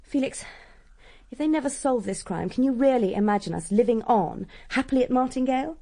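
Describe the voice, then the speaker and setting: A woman's voice: sits high, expressive, fairly quick, warm tone. An actress playing a strong-willed upper-class young woman in a radio drama, frank and probing. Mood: frank, challenging.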